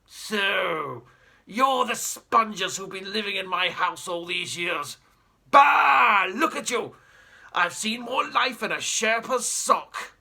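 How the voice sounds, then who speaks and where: A man's voice: sits high, lively intonation, takes it slowly, gravelly, heavily acted. A man voicing a pompous, blustering old male explorer who barks insults. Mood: scornful. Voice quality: loud, barking, imperious.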